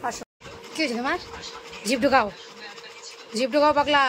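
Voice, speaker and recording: A woman's voice: sits high, a little fast, background noise. A woman chatting spontaneously in a vlog, playful and cooing, repeating short phrases. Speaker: vlogger filming daily life.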